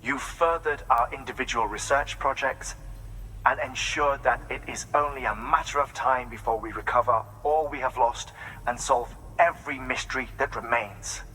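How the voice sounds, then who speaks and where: An actor playing an earnest, scholarly scientist in a video game, explaining his findings in a measured, articulate, didactic manner. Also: mid-range voice, sharp tone, a little hiss or hum, lightly acted, poor audio. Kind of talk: video game cutscene exposition.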